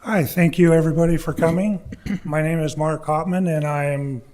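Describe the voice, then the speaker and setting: A man's voice: a little high, fairly even intonation, moderate pace, darkish tone. A man in his sixties from Oregon, a council candidate plainly recounting his background at a forum. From Oregon, USA.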